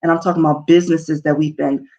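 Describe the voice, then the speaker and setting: A woman's voice: low-pitched, fairly even intonation, fast talker, warm tone, highs cut off. A young woman talking informally and earnestly to an online audience, encouraging listeners as a talk show host. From Nigeria and New Jersey, US.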